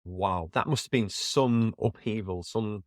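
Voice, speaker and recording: A man's voice: lowish voice, unhurried, no echo, clean studio sound. A man hosting a podcast interview in a friendly, rambling way. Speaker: podcast host.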